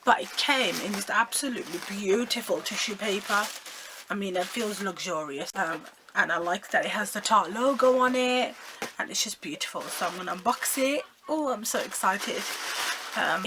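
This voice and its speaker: A young woman, hoarse and croaky from a sore throat, chatting excitedly to her viewers while unboxing makeup. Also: medium pitch, moderate pace, background noise. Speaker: beauty vlogger. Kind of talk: casual makeup unboxing vlog.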